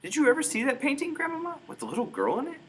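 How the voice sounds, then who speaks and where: A man's voice: extremely high pitch, wildly swooping pitch, racing, fairly bright tone, a little hiss or hum, voice pushed up, exaggerated voice. A man voicing a curious seven-year-old boy who asks wide-eyed, insistent questions in a storybook reading. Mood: amazed curious.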